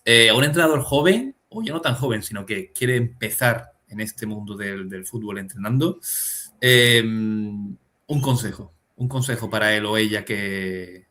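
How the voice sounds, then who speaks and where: A man's voice: fairly low pitch, a little slow, sharp tone. A man from hosting a football podcast interview, mixing short acknowledgements with long framed questions. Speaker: podcast host.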